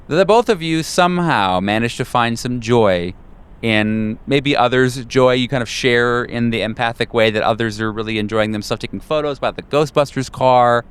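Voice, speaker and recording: A man narrating a horror tabletop game as game master, descriptive and deliberate, pausing between phrases. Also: mid-range voice, fairly bright tone, clean tone.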